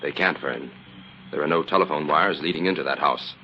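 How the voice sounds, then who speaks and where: An actor playing a calm, confident, methodical crime-fighting hero in an old-time radio adventure. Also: male voice, very deep voice, fairly quick. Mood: calm, resolute. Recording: muffled, noisy old radio recording.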